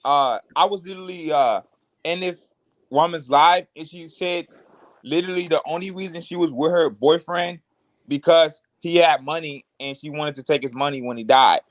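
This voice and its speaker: A man's voice: fairly high pitch, moderate pace, no room sound, poor audio. A man in his early twenties from Cleveland, Ohio, talking in a laid-back, slangy way in casual group chat. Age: 20s. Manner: laid-back, filler-heavy slang talk.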